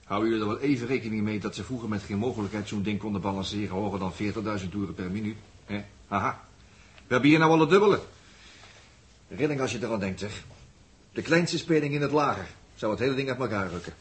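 A man's voice: fairly low pitch, fast talker, natural voice. An actor playing a jokey, easily amused spaceship crewman in a 1960s Dutch radio play, chatting colloquially with a Netherlands accent. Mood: amused, chatty. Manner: colloquial, chuckling, wisecracking. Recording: 1960s radio drama recording.

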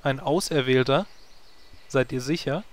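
A man voicing a vain young druid hero in a plain, mid-pitched voice. He drops dry, mocking asides and sounds full of himself. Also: no echo, close to an everyday voice. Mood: sarcastic. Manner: wry, sarcastic, quick asides. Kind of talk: comedy audio drama acting.

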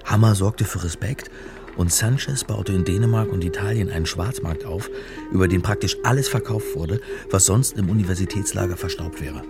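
A man's voice: deep voice, normal speed, loud music behind the voice. An actor playing a wry, exasperated male academic turned amateur sleuth in a German radio crime drama, speaking conversationally with some hesitation. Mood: puzzled, curious. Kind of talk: German radio play, narration and dialogue.

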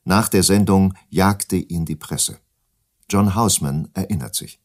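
Deep guy, reading radio documentary narration. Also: measured pace.

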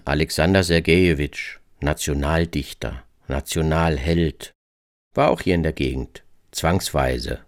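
Very deep-voiced male radio narrator. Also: no room sound, clean studio sound. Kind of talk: literary scripted narration with poem recitation.

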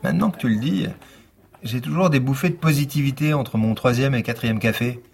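An actor playing a weary, sardonic police lieutenant with dry, deadpan delivery in a naturalistic fiction podcast. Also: male voice, mid-range voice, brisk, creaky, breathy edge. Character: weary sardonic male police lieutenant, coffee-fuelled pessimist.

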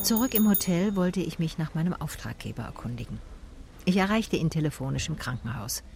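A woman's voice: deep voice, animated, a little fast, vocal fry, a little mellow, soft background music. An actress playing a sober private detective who narrates her case in a radio drama, in laconic, observant short sentences.

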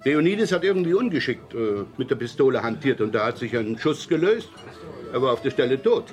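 An actor playing a suave, amused celebrity poet in a radio play, breezy and pleased with himself. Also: male voice, mid-range voice, very animated, brisk, soft background music, natural voice. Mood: smug. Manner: breezy, self-satisfied, chuckling. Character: suave, amused male poet, famed as murderer. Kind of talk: 1980s German radio play acting.

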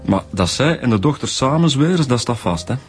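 An actor with a Flemish accent playing a blunt, insinuating police detective in a radio drama. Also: male voice, medium pitch, expressive, brisk, faint music behind, lightly acted. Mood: insistent. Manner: blunt, probing.

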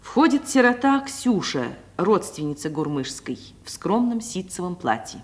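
A woman narrator reading stage directions for a radio play in a measured, literary manner. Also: on the low side, sing-song, darkish tone.

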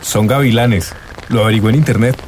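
A man's voice: fairly low pitch, brisk, darkish tone, lightly acted. A man acting an earnest, friendly, inquisitive character in a radio drama. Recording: noisy outdoor ambience, rain.

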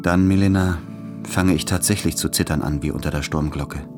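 A needy, anxious man in a literary radio drama. His voice is low, and he confesses his dread in a brooding manner. Music runs clearly underneath. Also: fairly even intonation, moderate pace. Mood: fearful, confessional. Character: anxious, fearful, needy man in love, tormented writer.

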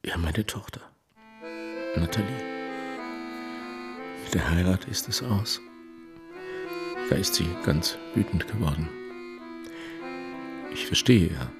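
An actor in a radio drama playing a humble, downcast father who lost his savings, speaking haltingly and confessing. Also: sits low, over music. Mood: dejected.

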